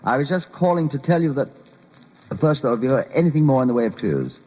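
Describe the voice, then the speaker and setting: An actor playing an unflappable, officious police officer in an old-time radio drama, formal, clipped and faintly condescending. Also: male voice, medium pitch, expressive, fast, a little mellow, close to an everyday voice. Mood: businesslike. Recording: muffled, faintly noisy old radio recording.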